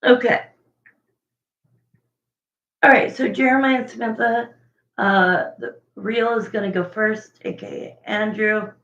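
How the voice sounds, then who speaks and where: A woman with a raspy voice doing a casual livestream tarot reading, chatting and thinking aloud between viewer questions. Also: fairly high pitch, fairly even intonation, unhurried, pauses often, fairly bright tone. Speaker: tarot reader. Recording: echoey, dull lo-fi room sound.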